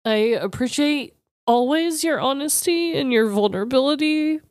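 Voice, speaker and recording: A woman's voice: high voice, lively intonation, moderate pace, darkish tone, dry recording, clean studio sound. A woman co-hosting a podcast, talking casually and from the heart in an affectionate, supportive, informal way. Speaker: podcast co-host.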